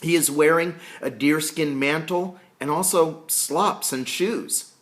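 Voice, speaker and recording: A man reading a historical novel aloud as a storyteller, deliberate and explanatory. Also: fairly high pitch. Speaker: reader reading a book chapter aloud.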